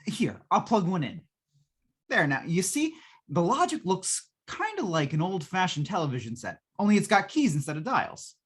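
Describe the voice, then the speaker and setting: A woman's voice: fairly low pitch, big pitch swings, moderate pace, breathy edge. A woman playing a folksy, long-suffering salesman in a 1950s-style radio drama, giving colloquial, patient sales patter. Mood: eager, explanatory.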